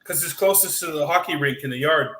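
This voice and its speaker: A man co-hosting a loose talk show, telling a story in a rambling way with plenty of fillers and restarts. His voice is bright and forceful. It rings around an echoey room. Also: fairly high pitch, quick pace, slight background noise. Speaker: podcast co-host. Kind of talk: casual chat reading super chats.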